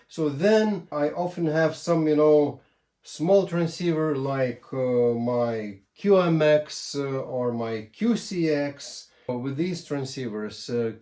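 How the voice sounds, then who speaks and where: A man giving casual instructional talk as a hobby video host, in a halting way with repeated words. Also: mid-range voice, a little mellow. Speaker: amateur radio video host.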